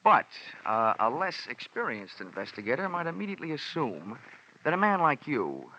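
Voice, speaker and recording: An actor playing a wisecracking, hard-boiled insurance investigator in a clipped, wry old-time radio style. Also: male voice, mid-range voice, sing-song, moderate pace, sharp tone, a little hiss or hum. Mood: needling, cool. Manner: wry, clipped, slangy delivery. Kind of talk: old-time radio detective acting with first-person narration. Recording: dull old radio recording, music behind.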